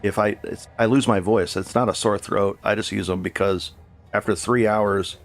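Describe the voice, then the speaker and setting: A man's voice: lowish voice, steady tone, moderate pace, faint music behind. A man chatting casually with viewers while he plays a game live, rambling and correcting himself as he goes. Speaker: game streamer. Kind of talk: casual live gameplay commentary.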